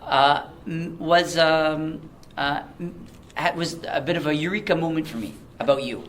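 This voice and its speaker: A man co-hosting a casual movie podcast, talking in an animated way and often mimicking his co-host. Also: fairly high pitch, moderate pace. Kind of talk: casual chat.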